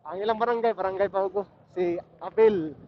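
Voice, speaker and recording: A Filipino man with a Bisaya accent and a high voice talks off the cuff like a motovlogger. He is informal and circles back over his phrases. The sound is dull and boxy, with faint vehicle noise behind him. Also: brisk. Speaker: motorcycle vlogger. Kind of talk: casual rambling chat while riding. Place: outdoors on a motorcycle at night in traffic. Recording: muffled helmet intercom with road noise. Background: faint vehicle and road noise.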